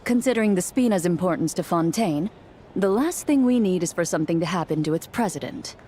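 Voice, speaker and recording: A woman's voice: fairly low pitch, expressive, brisk, warm tone, slight background noise, lightly acted. A woman playing a serious, protective duelist bodyguard in a video game, cool, dry and cautious. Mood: stern, dry. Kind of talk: video game character acting. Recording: faint game music behind.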